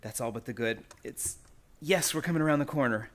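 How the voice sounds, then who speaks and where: A man's voice: mid-range voice, lightly acted. A man playing an anxious, polite young chemist in a radio play, speaking in a hesitant, tormented way. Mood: nervous, desperate. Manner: hesitant, nervous, halting.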